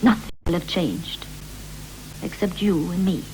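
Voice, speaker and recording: An actress playing a dutiful, busy housewife and doting mother in a 1960s radio drama, speaking in measured, clipped poetic phrases. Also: fairly low pitch, warm tone. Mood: wistful. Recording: noisy, humming 1960s radio recording.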